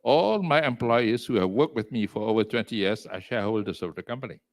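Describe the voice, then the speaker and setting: An older man with a Malaysian accent talking as an interview guest, loud and emphatic, dropping in Malay words and leaping to high exclamations. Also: brisk. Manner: mixes in Malay words and phrases. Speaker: entrepreneur and executive chairman, interview guest. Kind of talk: interview over video call.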